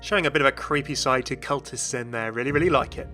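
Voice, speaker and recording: A man's voice: mid-range voice, quick pace, bright, forceful tone, soft background music. A man hosting a gaming channel, giving casual, enthusiastic commentary in a voice-over.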